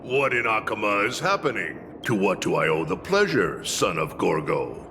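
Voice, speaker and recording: An actor playing a sly old warrior mentor in a video game, theatrical and sardonic, commanding and deliberate. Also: male voice, fairly low pitch, sing-song, pushed and bright, a little hiss or hum, exaggerated voice. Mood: mocking. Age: older.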